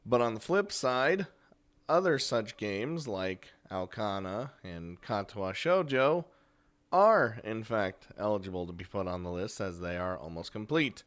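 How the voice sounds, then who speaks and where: A man's voice: on the low side, expressive, unhurried, fairly bright tone, smooth. A man hosting a casual, unscripted countdown of games, chatty and crude, swearing freely. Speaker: video host and game reviewer.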